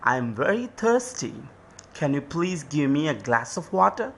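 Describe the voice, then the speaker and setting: A man's voice: fairly high pitch, sing-song, measured pace, vocal fry, fairly bright tone, husky, heavily acted. A man voicing a poor, hungry, polite young boy in a children's moral tale. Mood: humble. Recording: music behind the voice.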